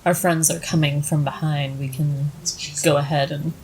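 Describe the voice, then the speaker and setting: A woman's voice: low voice, steady tone, normal speed, a little mellow, slight background noise, low-quality recording. A woman talking casually as a player in a tabletop game.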